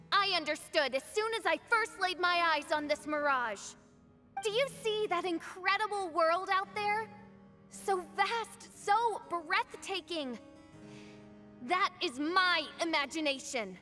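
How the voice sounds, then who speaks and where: A proud young princess from a video game, declaiming her lines with grandiose drama. Her voice is high and forcefully bright, and she takes her time over each phrase. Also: exaggerated voice. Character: proud, theatrical young princess facing her mocking dark self. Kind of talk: theatrical video game voice acting.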